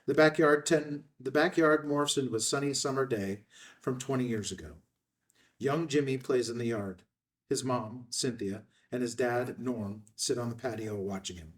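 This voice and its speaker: Male screenplay narrator, average pitch, a touch off-mic, reading scripted action lines. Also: moderate pace, distant mic. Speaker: stage-direction narrator.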